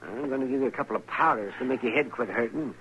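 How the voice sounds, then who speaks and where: An actor playing a crusty, teasing older American town doctor in a radio western, speaking in a crotchety, wry manner. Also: male voice, mid-range voice, quick pace, sharp tone, natural voice. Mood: wry, grumbling. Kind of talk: 1950s radio western acting. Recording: dull, faintly noisy vintage radio recording.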